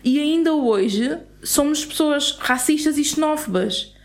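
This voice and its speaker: Earnest European Portuguese woman, higher voice. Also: expressive, measured pace. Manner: earnest, indignant, stops and restarts mid-sentence. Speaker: podcast co-host. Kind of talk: casual podcast chat.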